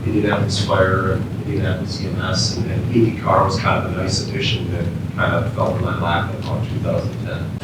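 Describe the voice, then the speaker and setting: An American man giving an informal training lecture as a keynote speaker, often quizzing his audience with questions. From Minnesota, United States. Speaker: emergency physician, keynote speaker. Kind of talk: interactive training lecture to firefighters. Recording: very echoey room, distant mic, rumbling noise.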